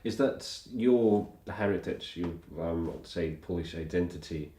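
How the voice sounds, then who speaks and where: A man working as an interviewer, asking questions in a halting way as he searches for words. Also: sits low, mellow. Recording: echoey room with faint noise.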